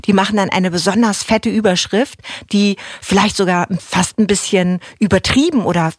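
A woman's voice: medium pitch, normal speed, dry recording, studio quality. A woman, co-hosting a children's radio talk show in a chatty, explanatory, conversational way. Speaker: radio talk show co-host and band member.

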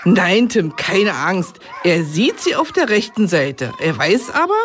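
A woman's voice: lowish voice, measured pace, slight background noise. A woman playing a caring, easily flustered kindergarten teacher with an instructive manner in a children's radio comedy. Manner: instructive, animated.

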